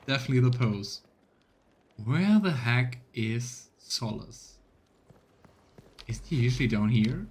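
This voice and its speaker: Game streamer, male, mid-pitched, chatty and musing commentary. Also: a little hiss or hum. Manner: chatty, musing, laughs easily. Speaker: let's play host. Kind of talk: casual gameplay commentary.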